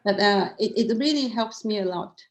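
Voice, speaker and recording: A woman's voice: mid-range voice, normal speed. A middle-aged woman with a Cantonese accent, speaking candidly as an interview guest and often restarting her words. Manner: earnest and candid, frequent restarts and repeated words. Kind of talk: interview conversation.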